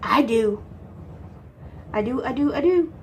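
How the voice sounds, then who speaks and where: A woman's voice: high-pitched, expressive, unhurried, a little hiss or hum. A congested woman from Texas, chatting casually while she works on a craft and answering her own questions. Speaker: crafting vlogger.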